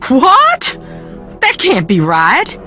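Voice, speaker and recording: Touchy southern lady in a mystery game, very animated pitch, brittle, soft music behind. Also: extremely slow, exaggerated voice. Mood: irritated. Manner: dramatic, brittle, curt. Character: troubled, defensive Southern woman, haughty and wounded. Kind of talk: video game voice acting.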